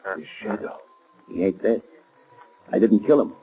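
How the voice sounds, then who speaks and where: A man's voice: fairly low pitch, expressive, measured pace, mellow, soft background music, poor audio. A man acting a nervous, uneducated gangster underling in a 1930s radio drama, talking in uneasy slang. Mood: frightened. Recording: old 1930s radio broadcast.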